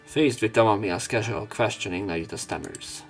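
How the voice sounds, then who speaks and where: A man's voice: on the low side, normal speed, soft background music. A man doing let's play commentary, reading visual novel narration aloud with opinionated asides. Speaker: let's player.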